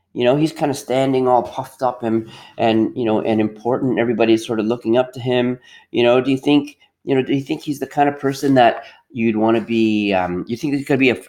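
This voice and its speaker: A man reading a storybook aloud as a teacher, expressive and pausing to put questions to pupils. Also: mid-range voice.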